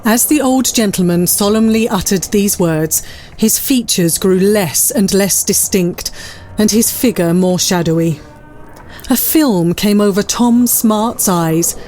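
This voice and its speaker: A woman narrating a classic story in a measured, literary storytelling manner. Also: mid-range voice, darkish tone, slight background noise.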